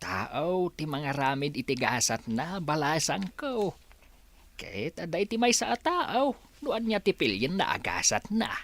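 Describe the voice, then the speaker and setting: A man's voice: a little high, very animated, a little slow, fairly bright tone, heavily acted. A man with a Filipino accent playing a stern, judgmental village father in a radio drama. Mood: reflective, judging. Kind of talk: Ilocano radio drama acting.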